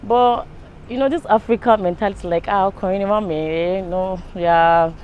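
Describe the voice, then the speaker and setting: A woman's voice: medium pitch, moderate pace, lo-fi sound, a little hiss or hum. A Nigerian woman giving her opinion in a casual, conversational style. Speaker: interview respondent.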